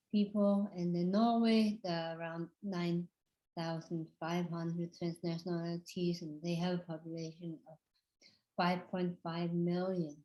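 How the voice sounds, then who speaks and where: Female panel moderator, halting delivery full of fillers, warm tone, roomy sound. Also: fairly low pitch. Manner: frequent ums and uhs. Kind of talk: hesitant panel introduction. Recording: echoey room.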